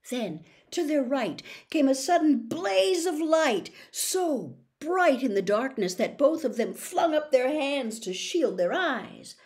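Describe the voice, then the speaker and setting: A woman narrating a storybook as its reader, with an expressive, suspenseful delivery. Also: on the high side. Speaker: audiobook reader.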